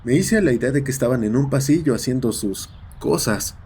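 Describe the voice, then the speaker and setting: A man's voice: medium pitch, lively intonation, a little fast, darkish tone, slight background noise. A man narrating a scripted horror story. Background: ambient sound effects.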